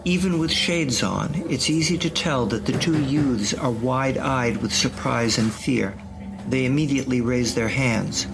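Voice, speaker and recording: Gravelly male audio drama narrator. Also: medium pitch, unhurried, background noise.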